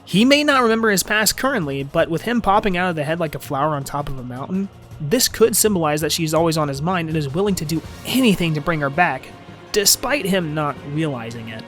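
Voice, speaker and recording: A man's voice: fairly high pitch, lively intonation, a little fast, a bit bright, faint music behind. A man narrating an informal scripted fan video essay about a game, with emphatic, swinging phrasing.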